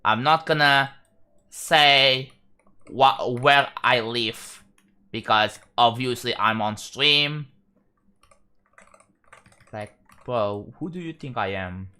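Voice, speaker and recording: A young man from Indonesia with an Indonesian accent, chatting casually on a live stream, rambling and halting with frequent restarts. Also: mid-range voice, pushed and bright, clean tone. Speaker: livestreamer.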